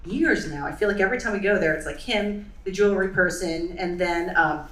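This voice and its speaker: A woman's voice: on the low side, fairly quick, distant mic. A woman presenting to a live audience in a chatty way, often checking in with "right?" and "you know". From United States. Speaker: event speaker. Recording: echoey room, voice off-mic.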